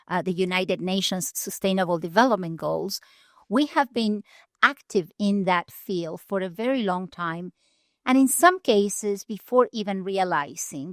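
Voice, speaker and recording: A woman from Argentina, a nonprofit leader telling her story in an earnest, measured way with deliberate pauses. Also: medium pitch, dry recording, studio quality. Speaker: guest, nonprofit CEO. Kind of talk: storytelling interview answers. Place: conference venue.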